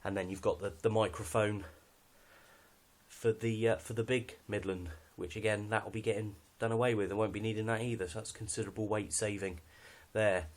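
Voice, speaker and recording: A man's voice: on the low side, fairly even intonation, a little fast, a little mellow. A British man giving a casual, unscripted walkthrough of his kit in a matter-of-fact, slightly hesitant way. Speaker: hobbyist presenter showing radio kit.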